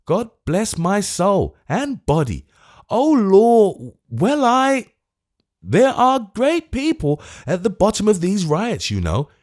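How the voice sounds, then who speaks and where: A man's voice: sits high, wildly swooping pitch, slow, a little mellow, pitched above the performer's own voice, exaggerated voice. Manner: testy. Figure: a man doing a fussy, self-important Lord Mayor. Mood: testy, fretful. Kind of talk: audiobook character voice.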